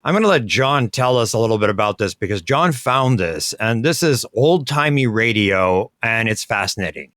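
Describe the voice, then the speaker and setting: Chatty podcast host, dry sound. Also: male voice, fairly low pitch, animated, moderate pace, few pauses, a bit bright, no room sound. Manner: chatty, rambling, enthusiastic. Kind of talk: casual chat.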